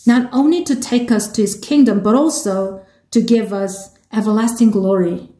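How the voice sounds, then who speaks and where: A woman with a Korean accent interpreting a sermon into English, measured and halting, with restarts. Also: mid-range voice, dark tone, reverberant.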